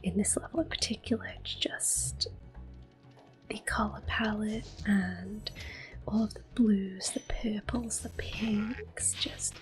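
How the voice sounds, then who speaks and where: Woman whispering softly, ASMR gaming. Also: medium pitch, very animated, faint music behind, poor audio. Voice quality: soft, breathy whispering. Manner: gentle, unhurried, softly spoken. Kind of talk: ASMR game playthrough commentary. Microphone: close microphone.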